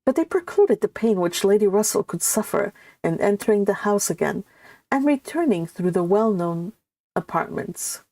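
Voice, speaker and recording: A woman hosting a live read-along, reading a classic novel aloud and adding chatty, expressive asides. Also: medium pitch, normal speed, dry recording.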